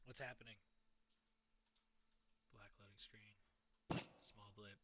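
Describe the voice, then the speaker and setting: A man's voice: lowish voice, level tone, slow pace, very noisy. A man giving informal, playful reaction commentary on game cutscenes. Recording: loud game music behind.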